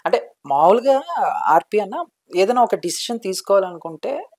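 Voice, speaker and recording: A male interviewer. He chats casually, building his questions haltingly and dropping in English words. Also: high voice, lively intonation, a little mellow.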